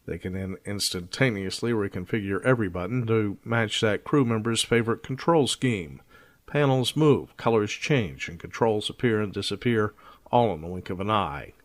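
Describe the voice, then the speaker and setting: A man's voice: fairly low pitch, dry recording. A man narrating a scripted science fiction audio drama in a wry storytelling manner.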